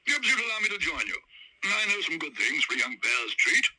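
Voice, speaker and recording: A man's voice: a little high, big pitch swings, a little fast, breathy edge, pitched above the performer's own voice, exaggerated voice, low-quality recording. A man doing a bluff old tycoon. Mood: proud. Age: older. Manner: loud, blustery, clipped. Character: bluff, hearty old male marmalade tycoon.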